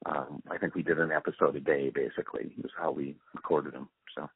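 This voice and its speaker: A man's voice: extremely low pitch, steady tone, a little fast, husky, no room sound. An older-sounding man reminiscing and explaining his craft in a casual, conversational interview over the phone. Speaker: interview guest, radio drama producer. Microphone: phone call. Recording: tinny narrowband phone line.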